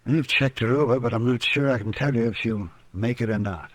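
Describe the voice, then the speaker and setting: An actor playing a weary, candid frontier town doctor in an old radio western, speaking in a deliberate, matter-of-fact way. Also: male voice, medium pitch, no echo, close to an everyday voice. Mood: troubled, frank. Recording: noisy old radio recording.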